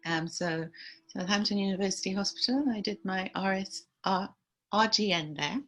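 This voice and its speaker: An older woman from Trinidad speaks as the guest in a relaxed interview. She tells long stories about family and work in a chatty way and laughs often. Her pitch sits in an ordinary middle range. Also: normal speed. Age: 60s. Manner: chatty, warm laughter, storytelling. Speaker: aesthetic nurse, guest.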